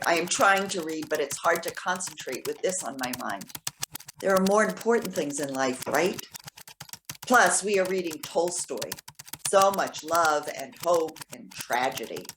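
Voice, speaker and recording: A woman's voice: fairly low pitch, noisy background. A woman playing a hopeful, lovestruck elderly woman in readers theater, reading and composing love messages aloud. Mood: hopeful, affectionate. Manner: reads and composes messages aloud with murmured asides. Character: lonely, hopeful, romantic elderly woman writing love emails.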